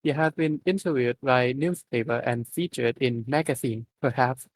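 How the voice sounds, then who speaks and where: A man reading a fan story aloud in a plain, steady way with the odd slip. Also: medium pitch, moderate pace, no room sound, low-quality recording. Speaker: fanfic reader.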